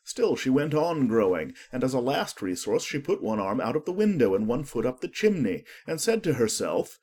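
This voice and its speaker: A man's voice: medium pitch, big pitch swings, normal speed, warm tone. A man narrating a children's storybook as a scripted audiobook reading.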